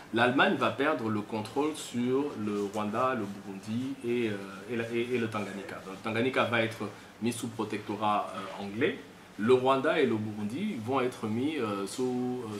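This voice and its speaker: A man's voice: medium pitch, a bit bright. A man with a Congolese accent gives an unscripted explanatory talk, teaching step by step and often repeating himself. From DR Congo, Kasai region. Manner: didactic, step-by-step with repetition. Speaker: commentator on history. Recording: echoey room, faint background noise.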